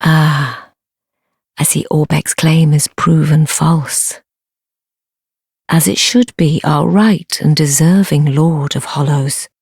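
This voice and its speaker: A woman playing a sly, devoted noblewoman in a dark fantasy game, speaking formal archaic English in a low, breathy, measured voice. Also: fairly even intonation, no room sound, clean studio sound, full character voice. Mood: pleased, courtly. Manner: measured, formal archaic speech, deferential yet commanding. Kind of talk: fantasy video game acting.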